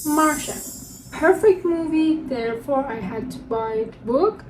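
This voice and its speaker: High-voiced woman, English not her first language. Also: lively intonation, a little slow. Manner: chatty and upbeat, presenting books one by one. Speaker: book vlogger. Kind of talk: casual vlog showing her unread books. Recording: distant mic with construction noise. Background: construction noise from a neighbor.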